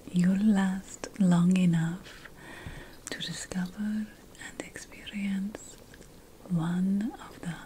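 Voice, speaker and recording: A woman voicing a sly, conspiratorial potion-making witch in an ASMR fantasy roleplay, whispering slowly and deliberately with dramatic pauses. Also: lowish voice, warm tone, a little hiss or hum, low-quality recording.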